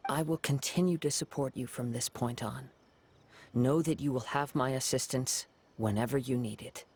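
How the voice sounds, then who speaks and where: A young man voicing a calm wandering samurai who speaks in a measured, reflective way, from a video game. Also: mid-range voice, slightly rough. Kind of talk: game dialogue.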